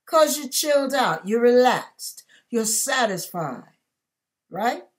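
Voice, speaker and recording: An older woman speaks in a slightly high voice at a slow, deliberate pace. She gives an informal devotional talk, conversational yet emphatic, and often turns to the listener with rhetorical questions. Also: sing-song. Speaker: online ministry host. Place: furnished room at home.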